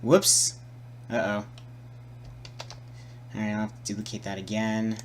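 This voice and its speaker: A man presenting a casual, improvised software tutorial, muttering and repeating himself as he works. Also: lowish voice, little pitch movement, unhurried, slight background noise. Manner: hesitant, muttering, repeating words while working.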